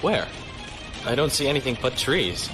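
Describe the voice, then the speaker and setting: A man's voice: mid-range voice, big pitch swings, moderate pace, natural voice. Male actor as fugitive officer, earnest, wary, questioning, mid-range voice, audio drama, music behind.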